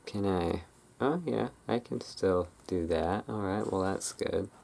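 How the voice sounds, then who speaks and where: Low creaky voice, slow ASMR rambling. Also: a little mellow. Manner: rambling, self-correcting, tentative. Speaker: ASMR video host. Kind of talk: casual rambling ASMR show-and-tell. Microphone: built-in camera microphone, close. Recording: noisy camera microphone with clicking sounds.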